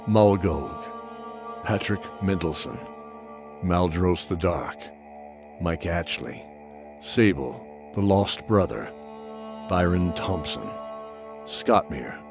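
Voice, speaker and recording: A man's voice: sits low, creaky, full character voice. A man narrating a fantasy audio drama in a dramatic scripted style. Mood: announcing. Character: storyteller narrating epic fantasy battles. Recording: loud music under voice. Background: loud music.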